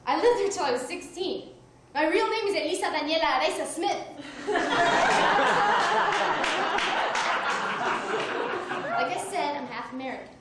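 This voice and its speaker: A woman from Mexico delivers a wry, self-mocking stage monologue in a fairly high voice. She is caught from far off by a room mic, with heavy echo and clear noise around her, like a live theater recording. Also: animated, takes it slowly, pauses often. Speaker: solo stage performer. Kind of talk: one-woman comedy show monologue.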